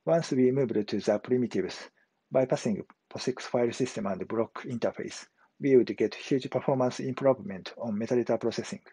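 A man, giving a measured, prepared testimonial to camera over a video call. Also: mid-range voice, mellow, breathy edge, no echo, rough recording. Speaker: customer engineer giving a testimonial. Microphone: webcam video call framing.

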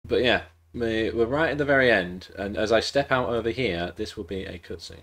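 A man's voice: on the low side, brisk, fairly bright tone, faint music behind. A man in his thirties, congested and losing his voice, chatting rambly while streaming a game at home. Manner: rambling, chatty, jokey asides. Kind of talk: casual live-stream chat while playing.

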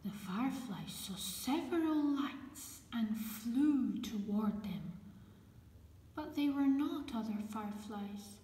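A woman reads a picture book aloud in a fairly high voice. Her delivery is very slow and gentle. The room is echoey, with some noise behind her. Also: lively intonation. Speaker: storyteller.

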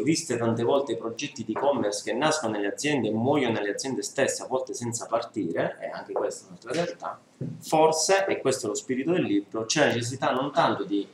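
Earnest man explaining at a book launch. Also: on the low side. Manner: earnest and explanatory, stacks lists of verbs. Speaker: book author presenting his book. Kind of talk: book presentation talk. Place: small room at a book presentation, indoors. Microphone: far camera, seated at a table. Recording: echoey room with faint noise.

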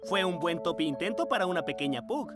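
A man's voice: high voice, lively intonation, fast. A man voicing a cheerful, encouraging mole gym teacher in a Spanish cartoon dub, talking in a bouncy sing-song way. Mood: cheery. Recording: studio dub with loud music.

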